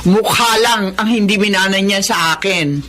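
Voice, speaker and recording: A woman's voice: medium pitch, moderate pace, a bit bright, slight background noise, natural voice. A Filipino actress playing a scornful, greedy middle-aged mother in a Tagalog radio drama, mocking and nagging. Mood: mocking, feigned politeness. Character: scornful, greedy mother who belittles her homely son.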